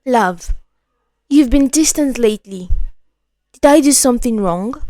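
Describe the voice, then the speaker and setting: A woman voicing a devoted, self-sacrificing young woman in love in a storytelling tale, soft and pleading in manner. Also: a little high, expressive, a little slow, no room sound, studio quality. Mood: gentle concern.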